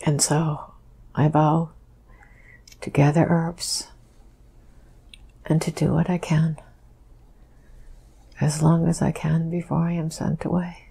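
A woman speaks in a soft, breathy whisper, low in pitch and very slow. Her words come haltingly, with a gravelly, husky edge and a flat, even tone. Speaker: session subject answering questions. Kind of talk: slow whispered first-person recollection.

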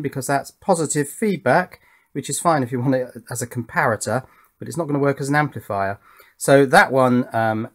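Methodical man explaining electronics. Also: medium pitch. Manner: methodical, informal. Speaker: electronics tutorial host. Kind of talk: casual hands-on technical explanation. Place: indoors at a workbench.

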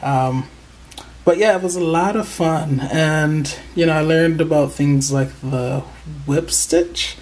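A slow-talking crochet vlogger hosting a casual show-and-tell from a table indoors. The voice is excited and proud but halting, full of ums and small pauses, with an unhurried pace throughout. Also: mid-range voice. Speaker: crochet channel host.

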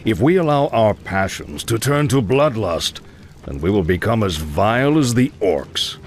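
Low-voiced, stern old paladin. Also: male voice, animated, a little hiss or hum. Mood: grave, fatherly. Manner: measured, grave, commanding.

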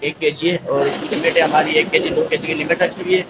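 A man at a market stall briskly listing prices and limits. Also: on the high side, fairly even intonation. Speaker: stall volunteer. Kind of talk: quick price rundown. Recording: loud crowd and noise.

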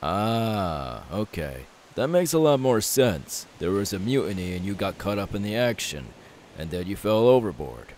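A man playing an easygoing, kindly young pirate in an ASMR roleplay, soft-spoken and unhurried. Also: on the low side, sing-song. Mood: curious, reassuring. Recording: faint background hiss.

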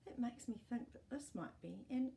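Middle-aged woman, storytime host. Soft mellow tone, lively pitch, expressive read-aloud for children. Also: normal speed, vocal fry, slight background noise. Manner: expressive, asks the young listener questions, gentle gasps. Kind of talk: reading a picture book aloud to children, with chatty asides. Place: small indoor room with books and toys.

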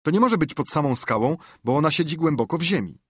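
A man voicing an eager, bossy boy adventurer who talks with raised, breathless enthusiasm and a husky tone. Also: on the high side, lively intonation, fast talker, fairly bright tone, dry recording, clean studio sound, voice pushed up.

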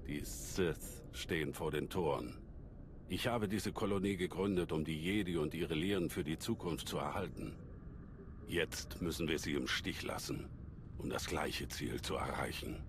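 A man playing a calm old alien Jedi master in a German game dub, measured and scholarly, with a deep gravelly voice. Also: background noise. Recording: sci-fi game ambience behind voice.

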